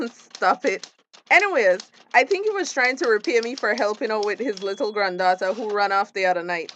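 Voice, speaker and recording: A woman's voice: medium pitch, animated, normal speed, a bit bright, slight background noise, natural voice. A woman acting a friendly, eager young community project worker in a radio drama, upbeat and chatty with a Caribbean accent. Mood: upbeat, curious.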